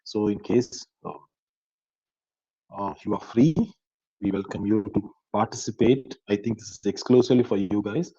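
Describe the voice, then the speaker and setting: A man's voice: fairly low pitch, fairly even intonation, normal speed, mellow, dry recording. A male professor making announcements to his class over a video call, hesitant with frequent fillers. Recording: dull lo-fi call audio.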